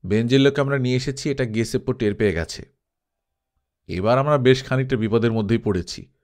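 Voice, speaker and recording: A man voicing a cautious, level-headed male adventurer with calm firmness in a dramatised audiobook. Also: mid-range voice, a little fast, natural voice. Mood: warning.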